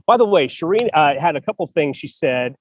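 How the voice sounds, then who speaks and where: A man's voice: a little high, expressive, a little fast, no echo, muffled recording. A man co-hosting a casual podcast about writing, talking over a video call from a city park in a jokey, rambling way and laughing often. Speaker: co-host, writer. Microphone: video call over spotty public Wi-Fi.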